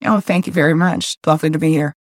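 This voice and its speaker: A woman in her sixties from Canada, a novelist guest who speaks gently and thoughtfully with some hesitation. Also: sits low, steady tone, quick pace, dry recording, clean studio sound. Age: 60s. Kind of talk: casual interview chat.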